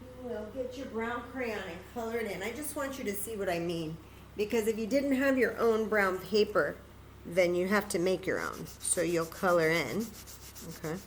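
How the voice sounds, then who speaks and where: A woman's voice: mid-range voice, normal speed, slight background noise, poor audio. A woman hosting a children's story time, patiently and encouragingly demonstrating a craft step by step in simple, casual words.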